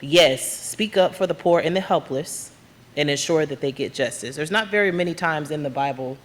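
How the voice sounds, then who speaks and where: Loud earnest woman from Georgia, echoing sanctuary. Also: sits low, moderate pace. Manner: loud, earnest, speaking from the heart. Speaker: guest speaker, labor union activist. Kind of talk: speech to a church congregation. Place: church sanctuary. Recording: long hall reverb, faint room noise.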